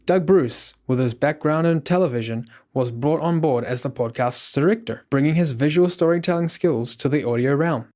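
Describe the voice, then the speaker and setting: A man's voice: a little high, moderate pace, few pauses, darkish tone. A man reading scripted documentary narration.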